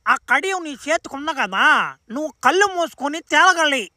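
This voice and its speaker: A man's voice: very high voice, lively intonation, moderate pace, pushed and bright, dry recording, clean studio sound, exaggerated voice. A man voicing a scheming, foul-mouthed old grandfather in an amateur cartoon dub, speaking in a crude, insistent way. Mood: instructing.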